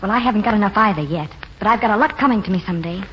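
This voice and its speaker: A woman's voice: medium pitch, lively intonation, racing, a little hiss or hum, close to an everyday voice. Lady doing a frank yearning small-town girl, earnest, muffled 40s radio drama sound. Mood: eager, pleading. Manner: frank, plainspoken, eager. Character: earnest, blunt, yearning young desert waitress. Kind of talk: 1940s radio acting. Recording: dull 1940s radio broadcast with music.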